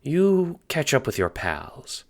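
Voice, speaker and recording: A man's voice: medium pitch, sing-song, slow, vocal fry, voice pushed up, exaggerated voice. A man voicing a kindly, folksy old grandmother in an audio drama. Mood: reassuring. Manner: folksy, calls people dear.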